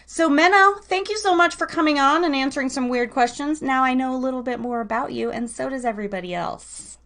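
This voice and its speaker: A woman hosting a live online show, talking in a casual, slangy, animated storytelling way. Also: sits high, a little fast.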